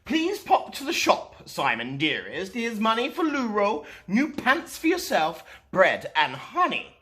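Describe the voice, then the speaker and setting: A man voicing a brisk, affectionate squirrel mother giving instructions in a sing-song way. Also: high-pitched, wildly swooping pitch, fairly bright tone, voice pushed up, heavily acted. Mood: fond, instructing.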